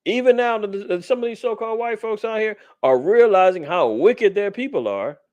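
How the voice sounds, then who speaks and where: A man hosting an informal scripture teaching, talking in streetwise slang and animated asides. His voice sits a little higher than most men's. The recording is dry and close, with no room sound. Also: normal speed, no echo, highs cut off. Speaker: Bible teacher, podcast host.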